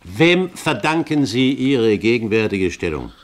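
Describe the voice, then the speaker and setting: Gentleman pirate captain, radio play: haughty, shrewd, precise, mid-pitched, coolly commanding. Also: very animated, measured pace. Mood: persuasive, lofty. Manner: lofty, precise, condescending, rhetorical. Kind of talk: German radio play acting, 1970s.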